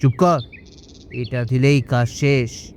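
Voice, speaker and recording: A man's voice: medium pitch, takes it slowly, a little mellow, a little hiss or hum. A man voicing a sly, boastful swindling salesman in a children's cartoon, brash and wheedling. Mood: bossy. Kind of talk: cartoon story voice acting.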